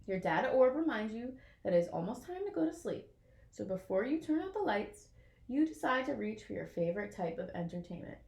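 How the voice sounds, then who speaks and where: A woman reading a children's picture book aloud in an expressive way, as if to young listeners. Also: a little high, brisk, gravelly, echoey room. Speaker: storybook reader.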